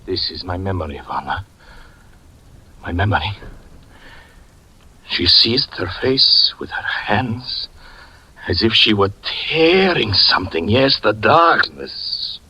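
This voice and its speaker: An actor playing a grief-stricken magician who recounts a tragedy, speaking slowly and brooding, then crying out in anguish, in 1940s radio acting style. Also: male voice, fairly low pitch, very animated, pushed and bright, a little hiss or hum, exaggerated voice, poor audio. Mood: hushed sorrow. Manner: slow, brooding, breaking into anguished cries.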